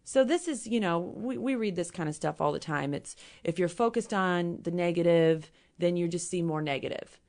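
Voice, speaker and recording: Midwestern woman guest, hoarse, slightly low voice, earnest explainer. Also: lively intonation, normal speed, few pauses, darkish tone. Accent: Midwestern American. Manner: earnest, explains with everyday examples. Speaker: company president, guest. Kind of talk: casual interview talk.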